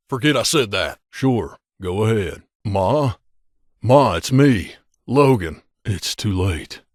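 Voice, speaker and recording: A man voicing an earnest, wounded wasteland companion in a video game, speaking sincerely and emotionally with hesitant pauses. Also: on the low side, dry recording, clean studio sound. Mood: grieving.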